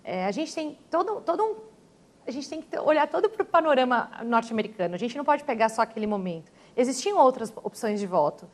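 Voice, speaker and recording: A Brazilian woman speaking as a guest on a TV studio panel, giving her opinions in a calm, measured way. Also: a little high. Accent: Brazilian Portuguese. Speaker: guest commentator.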